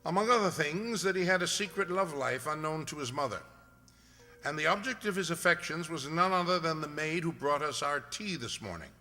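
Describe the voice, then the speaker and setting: A man playing a brisk, inquisitive gentleman detective in a radio mystery play, speaking precisely and formally. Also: a little high, lively intonation. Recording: reverberant hall-like sound.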